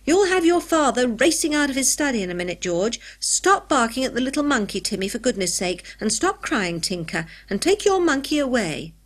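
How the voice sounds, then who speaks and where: A woman voicing a practical, harried female cook in a children's story, brisk and fussing. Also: fairly high pitch, very animated. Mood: scolding. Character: harried household cook, practical woman, scolding but kindly.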